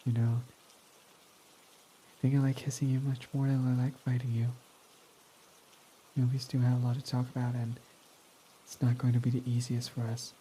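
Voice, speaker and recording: A once-hostile villain, now tender, voiced by a young man in an intimate roleplay. His mellow, dark-toned voice sits at a middling pitch and often slips into a whisper. Rain patters faintly behind him. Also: monotone, normal speed, long pauses, a little hiss or hum, close to an everyday voice, rough recording. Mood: soft, affectionate. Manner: soft-spoken, often dropping to a whisper. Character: guarded young male villain, softened by love. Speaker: ASMR roleplay voice actor. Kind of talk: ASMR romantic roleplay.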